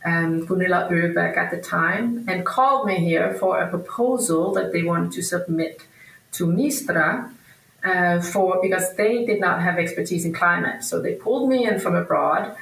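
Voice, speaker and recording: A woman in her fifties from Denmark, a native Danish speaker, answers interview questions conversationally, thinking aloud in a halting, hesitant way. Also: fairly low pitch, creaky, fairly bright tone. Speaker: podcast guest, researcher and academic. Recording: roomy echo, distant from microphone.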